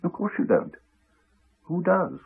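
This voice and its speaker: An actor with an English accent playing a crotchety, evasive village doctor with dry, formal courtesy, in a muffled 1980s radio drama. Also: male voice, sits high, very animated, gravelly, breathy edge, exaggerated voice. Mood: dryly evasive. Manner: dry, formal, measured politeness. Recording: muffled, band-limited 1980s radio recording.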